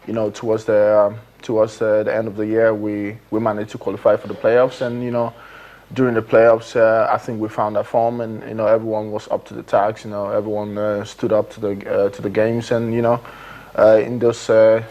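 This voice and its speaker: A young man from Nigeria answers questions in a television studio, his voice sitting low. He speaks haltingly and keeps reaching for fillers and restarting his phrases. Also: completely flat delivery, mellow. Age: 20s. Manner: hesitant, many fillers and restarts. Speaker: guest footballer. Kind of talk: TV studio interview.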